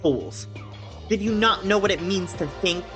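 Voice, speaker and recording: A woman voicing a cold, haughty student from a game in a condescending, measured manner. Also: medium pitch, animated, music in the background, natural voice. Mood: scornful. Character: haughty, cold, serious student who scorns others.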